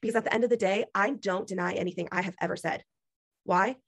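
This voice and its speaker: A woman lectures her team on a video call. She is emphatic and preachy, drives her points home with repetition, and her voice is going hoarse. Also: medium pitch, quick pace, a bit bright, no room sound, studio quality. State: losing her voice. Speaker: business team leader. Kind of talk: motivational pep talk on a video call.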